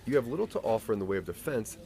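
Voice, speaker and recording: A man narrates an audio drama with expressive, scripted storytelling. His voice sits on the low side and swings widely in pitch. There is some noise behind him. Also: racing, vocal fry.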